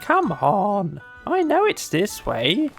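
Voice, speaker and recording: A reader voicing a bossy, fussy male rabbit with a brisk, officious manner for a children's storybook. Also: mid-range voice, wildly swooping pitch, gravelly, soft background music, voice pushed up, full character voice. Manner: brisk, officious, covering up uncertainty.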